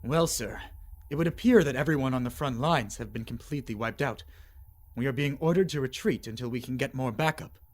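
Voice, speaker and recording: A man's voice: mid-range voice, brisk, natural voice. A man playing a young, deferential military aide in a fantasy animation, speaking in a polite, hesitant, pleading manner. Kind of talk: animated fantasy drama acting.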